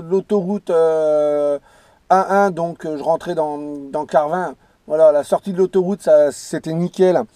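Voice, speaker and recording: A man's voice: fairly high pitch, warm tone, no echo. A man chatting casually as a radio operator, repeating himself emphatically as he rambles along. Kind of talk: casual radio contact chat.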